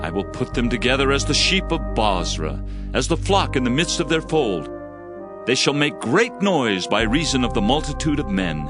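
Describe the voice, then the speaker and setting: Male scripture narrator, solemn, oratorical, highly animated intonation, dramatized reading, music behind. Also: normal speed. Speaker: audio Bible narrator.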